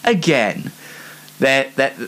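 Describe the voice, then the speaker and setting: A man's voice: medium pitch, sing-song, takes it slowly, a bit bright. A young British man telling casual anecdotes as a show host, in a chatty, rambling and self-deprecating way. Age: young adult.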